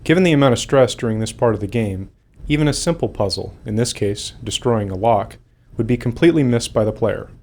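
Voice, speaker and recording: A man's voice: medium pitch, brisk, a little mellow. A man giving prepared commentary as a game developer.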